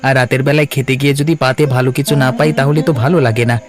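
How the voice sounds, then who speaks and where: A man's voice: medium pitch, fairly even intonation, racing, over music, natural voice. An actor playing a hearty, plain-spoken young village man in a cartoon, chatty and emphatic. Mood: reassuring, complaining. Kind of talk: Bengali cartoon voice acting.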